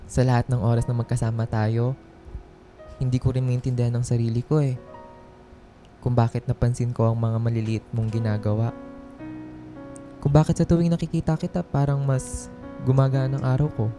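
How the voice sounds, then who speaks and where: A weary male office worker played by an actor in a radio drama. His very dark, muted timbre carries hurt and quiet resolve, with hesitant phrasing over soft music. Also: mid-range voice, fairly even intonation, moderate pace, long pauses, close to an everyday voice. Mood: weary, emotional.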